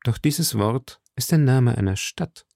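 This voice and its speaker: A man voicing a sober, reasoning gentleman musketeer in an audiobook. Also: mid-range voice, lively intonation, measured pace, vocal fry, no echo, studio quality. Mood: cautioning. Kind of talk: audiobook character voice.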